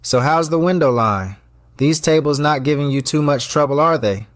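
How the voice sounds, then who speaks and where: A man playing a guarded, hardworking chef and restaurant owner in an audio drama, brisk and teasing. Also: a little high, no echo, clean studio sound, lightly acted.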